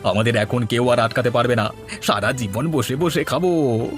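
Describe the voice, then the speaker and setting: A man voicing a greedy, bullying lazy elder brother in a cartoon, excitable and pushy, exclaiming and repeating words. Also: mid-range voice, moderate pace, soft background music, close to an everyday voice. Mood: gloating. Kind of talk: Bengali moral-tale cartoon voice acting.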